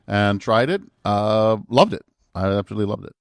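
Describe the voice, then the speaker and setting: A man's voice: on the low side, expressive, moderate pace, gravelly, dry recording, studio quality. A man co-hosting a podcast in casual chat, teasing and asking pointed questions. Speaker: podcast co-host.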